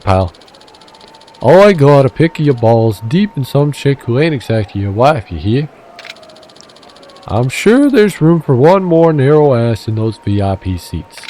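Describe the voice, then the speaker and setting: A man's voice: mid-range voice, dark tone, slight background noise. A man voicing a brash, wheeling-dealing male fixer with a shaky attempt at a Minnesotan accent, pushy and threatening on the phone. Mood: smug.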